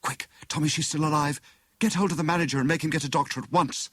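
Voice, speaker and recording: A man voicing a gentle, concerned young wife and amateur detective with a British accent, soft and earnest in an audiobook. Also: on the high side, fairly even intonation, quick pace, slightly rough, no echo, pitched above the performer's own voice. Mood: urgent.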